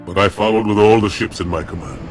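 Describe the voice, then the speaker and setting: Man acting a deep, solemn alien fleet commander. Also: over music, close to an everyday voice, rough recording. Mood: grave, subdued. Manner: formal, solemn, measured. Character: proud, disgraced alien fleet commander, grave and defiant. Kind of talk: dramatic video game cutscene acting.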